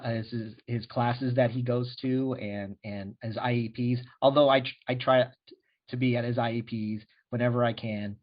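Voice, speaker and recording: A middle-aged American man talking candidly as a guest in a casual interview, often restarting his sentences. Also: medium pitch. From USA. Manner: earnest, candid, frequent restarts. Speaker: guest, animation director. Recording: dull lo-fi recording.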